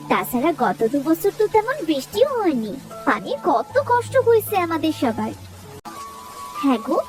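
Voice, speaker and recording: Cartoon village wife and mother: high-pitched, caring, coaxing, very animated delivery, music underneath. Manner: coaxing, expressive, homely. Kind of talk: Bengali moral-story cartoon voice acting.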